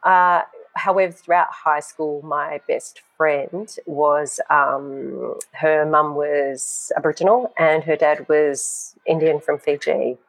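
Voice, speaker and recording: A man, a guest telling stories from his youth in an animated way, laughing as he talks. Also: a little high, slow, vocal fry, poor audio. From Australia. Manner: animated storyteller, laughs while talking. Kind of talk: casual podcast chat.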